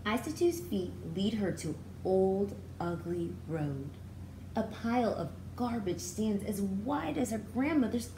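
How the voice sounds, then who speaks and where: A woman teacher reading a storybook aloud to young children, expressively, pausing to explain it in simple, repeated words. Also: medium pitch, warm tone, a little hiss or hum, low-quality recording.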